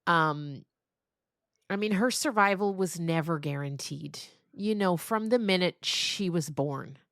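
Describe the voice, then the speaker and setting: A middle-aged woman talking casually and reflectively as a podcast interview guest, telling stories in an animated way. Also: lowish voice, measured pace, no room sound, studio quality.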